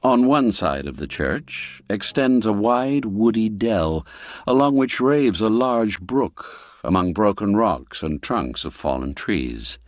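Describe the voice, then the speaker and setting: Slow, low-voiced male storyteller. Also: no room sound, studio quality. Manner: expressive, theatrical, lingers on dark words. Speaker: narrator. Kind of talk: dramatic storytelling narration.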